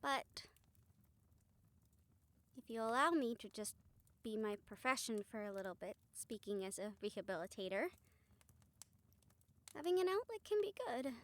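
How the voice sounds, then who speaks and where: Woman acting gentle, high-voiced supportive friend. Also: no room sound. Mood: hesitant, supportive. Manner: soft, hesitant, reassuring, earnest. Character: gentle, supportive female rehabilitator comforting a friend. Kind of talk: intimate audio roleplay acting.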